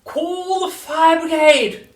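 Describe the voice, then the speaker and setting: A storybook narrator voicing a cranky, furious old grandmother who yells and screeches. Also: mid-range voice, sing-song, extremely slow, recorded from a distance, voice pushed up, exaggerated voice. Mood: frantic, furious. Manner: yelling, screeching, exclamatory. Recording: roomy, echoey, away from the mic.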